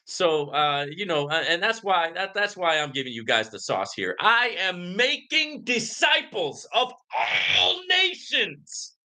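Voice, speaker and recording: Animated, bright-voiced man ranting on a livestream. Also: high voice, slow pace, few pauses. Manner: emphatic, preachy, swings into shouting for emphasis; peppers talk with "right?" and "man". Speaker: livestream host. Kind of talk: live Q and A commentary, ranting.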